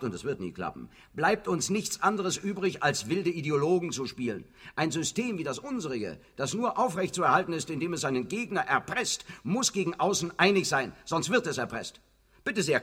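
A man's voice: fairly high pitch, fairly bright tone, slightly rough, exaggerated voice. An actor playing a brash, cynical communist party boss in a radio play, blunt and sardonic as he speechifies. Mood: exasperated. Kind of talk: theatrical German radio play acting.

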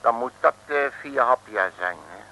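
A man's voice: mid-range voice, lively intonation, moderate pace, bright, forceful tone, low-quality recording. An actor playing a cocky, bumbling working-class Dutch detective who blusters and muddles his words, in a vintage comic radio serial. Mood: muddled, self-assured. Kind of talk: 1950s-60s comic radio serial acting. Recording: hissy old radio recording.

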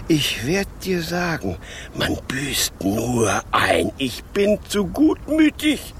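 An actor playing an irascible, vain Devil in a radio play, bossy and theatrical, swinging between mutters and squeals. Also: male voice, on the high side, slight background noise, heavily acted.

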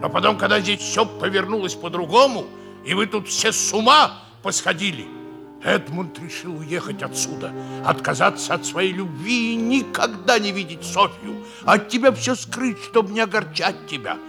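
An actor playing a frank, loyal hussar captain, speaking in an emphatic, theatrical 1960s radio comedy style. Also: male voice, sits high, moderate pace, vocal fry. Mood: earnest, grave. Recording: 1960s radio play with music.

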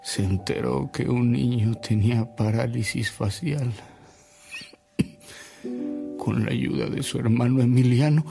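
Radio drama: devoted, tired reminiscer, solemn and deliberate, creaky voice. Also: male voice, medium pitch. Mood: fond, narrating. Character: don Alberto, tired devoted man reminiscing sadly. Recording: music behind the voice.

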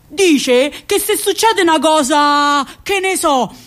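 Casting: actress playing news-bearing villager, high voice, Roman accent, chatty gossip. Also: female voice, animated, slow, a bit bright, exaggerated voice. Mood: eager, newsy. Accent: central Italian, Roman. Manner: chatty, colloquial.

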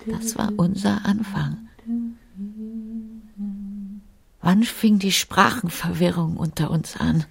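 An actress playing a middle-aged, wistful translator who muses poetically in fragments, sometimes hushed, in a literary radio play. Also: female voice, mid-range voice, unhurried, creaky. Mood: wistful, tender. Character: brooding, wistful woman translator, long married, lost in words.

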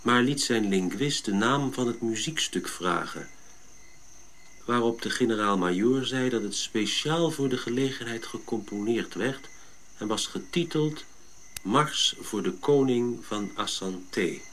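An actor playing a gentle, measured member of a Dutch expedition in a radio drama. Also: male voice, medium pitch, a little hiss or hum. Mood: measured, recounting. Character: Willem, gentle Dutch expedition member recounting his journey. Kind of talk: radio drama narration and dialogue.

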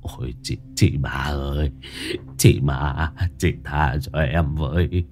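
Terrified old drunkard, man doing the voice; low, stammering, begging. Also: very animated, a little slow, pushed and bright, faint music behind, full character voice.

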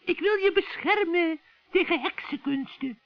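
A man voicing a scheming old witch in a children's radio play, shrill and cackling, with sugary, wheedling friendliness. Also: no echo. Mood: sly, coaxing. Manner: wheedling, drawn-out coaxing. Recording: dull, dry vintage radio recording.

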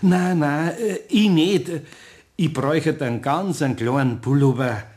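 An actor playing a kindly old master carpenter with a Bavarian accent, hesitant and fumbling for words in a radio play. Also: male voice, fairly high pitch, a little slow, darkish tone. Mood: pleading.

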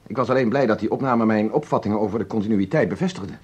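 An actor playing a troubled, searching programme maker in a Dutch radio play, earnest and questioning, with hesitant phrasing. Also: male voice, lowish voice, fast talker, darkish tone, breathy edge. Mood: troubled, defensive.

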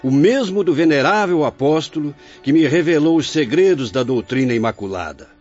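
An actor playing a kindly, venerable old spirit who runs an asylum, with a Brazilian accent, speaking in a measured, teacherly way in a radio drama. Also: male voice, a little high, expressive, darkish tone. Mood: warm greeting. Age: older. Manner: measured, benevolent, didactic. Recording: muffled audio with music.

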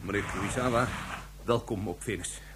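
An actor playing a nervous, eager-to-please space station attendant in a radio play, flustered, stammering and giggly. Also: male voice, on the low side, normal speed, very noisy, natural voice. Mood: flustered welcome. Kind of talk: science-fiction radio drama acting.

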